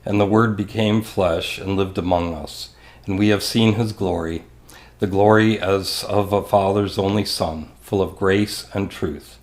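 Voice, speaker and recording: A man reading scripture for a church service in a measured, formal way. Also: sits low, steady tone. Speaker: scripture reader.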